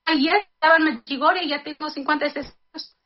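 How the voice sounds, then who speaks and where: A high-voiced woman in her fifties speaks earnestly to her listeners, mixing a reflection on life with a heartfelt prayer. The sound is dull and lo-fi, like a radio broadcast. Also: brisk, noisy background. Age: 50s. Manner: earnest, addresses listeners as brothers and sisters.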